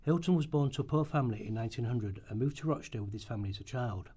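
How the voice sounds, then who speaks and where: A man's voice: medium pitch, very fast, dark tone, slightly rough. A man reading scripted historical narration as the narrator of a radio documentary drama. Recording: amateur rehearsal recording.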